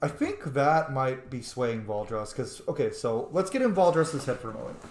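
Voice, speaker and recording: A man's voice: mid-range voice, lively intonation, normal speed, darkish tone, echoey room, slight background noise. A man giving informal roleplay commentary over a video game, musing aloud about his character's choices. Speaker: gaming host, roleplayer.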